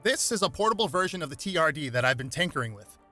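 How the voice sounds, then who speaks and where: A measured, brainy male scientist hero , acted by a man, explains things precisely in storybook style. The voice is bright, over faint music. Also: on the high side, sing-song, exaggerated voice.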